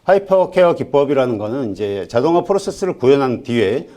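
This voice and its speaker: A man gives a business presentation in a relaxed, mellow voice of middling pitch. He is polite and formal, pausing haltingly as he goes. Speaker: conference presenter, IT company executive.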